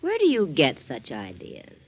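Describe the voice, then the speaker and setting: A woman's voice: low-pitched, wildly swooping pitch, moderate pace. An actress playing a middle-aged wife and mother, wary and scheming, in a 1940s radio drama. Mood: wary, probing. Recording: dull 1940s radio broadcast.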